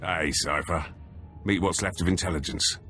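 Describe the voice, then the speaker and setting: A no-nonsense ship officer in a sci-fi game. His voice is low with a bright, forceful edge. He is gruff and curt. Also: fairly even intonation, moderate pace, soft background music. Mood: businesslike. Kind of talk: cinematic video game acting.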